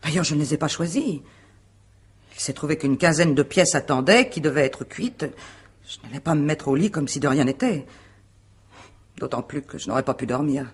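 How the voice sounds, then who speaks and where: A woman playing a defensive, guarded wife under questioning in a French radio mystery play, speaking precisely and formally in a husky voice. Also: low voice, expressive, moderate pace, long pauses, exaggerated voice. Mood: uneasy, defensive. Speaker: actor.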